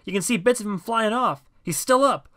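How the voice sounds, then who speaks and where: A man voicing an excitable, alarmed male friend who shouts profane play-by-play in short exclamatory bursts for an audio story. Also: on the high side, a little fast, natural voice. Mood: frantic excitement.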